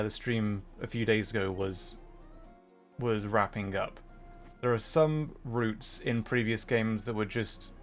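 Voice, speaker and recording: A man doing casual livestream chat and game commentary, often breaking off mid-thought to answer viewers. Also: on the low side. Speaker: livestreamer playing a game and chatting.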